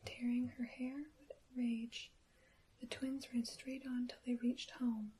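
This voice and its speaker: Female ASMR storyteller, hushed breathy whisper, mellow tone, soothing careful delivery over audible hiss. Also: a little high, little pitch movement, slow pace. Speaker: ASMR creator reading a short story. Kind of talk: ASMR bedtime story reading.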